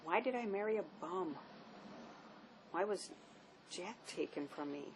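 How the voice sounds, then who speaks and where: A woman's voice: animated, unhurried. An actress playing a weary, self-loathing woman who speaks bleakly and tersely in naturalistic drama, recorded on location. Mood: bitter. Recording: noisy location sound with ambient rumble.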